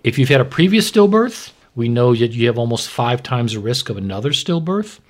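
A man's voice: medium pitch, sing-song, a little fast. A man explaining medical matters in a conversational, plain-spoken way as a podcast host and expert. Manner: explanatory, plain-spoken, asks and answers own questions.

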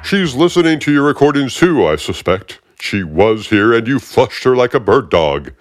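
A man voicing a smug, bombastic federal agent in an aggravating, high, drawn-out voice. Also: sing-song, no room sound, clean studio sound, natural voice.

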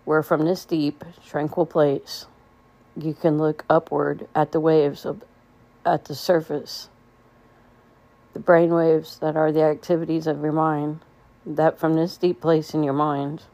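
A woman leading a guided meditation in a gentle, soothing way, with unhurried phrasing and pauses. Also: deep voice.

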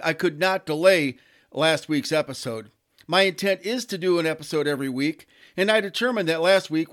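High-voiced man reading a podcast script. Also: fairly bright tone. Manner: plain, deliberate read-aloud delivery with little ad-libbing. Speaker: local community podcast host.

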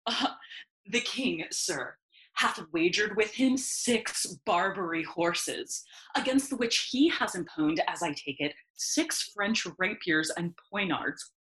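A woman's voice: medium pitch, lively intonation, slow pace, fairly bright tone, rough recording. A woman playing a foppish, fawning courtier in a Shakespeare audio play, with gushing, affected, flowery delivery. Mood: pompous, eager. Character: Osric, foppish, fawning, giggly royal courtier.

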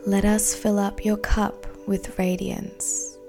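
A woman guiding a meditation in a soothing, deliberate, breathy voice that often nears a whisper. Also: medium pitch, level tone. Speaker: meditation guide. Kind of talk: guided meditation narration. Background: soft background music.

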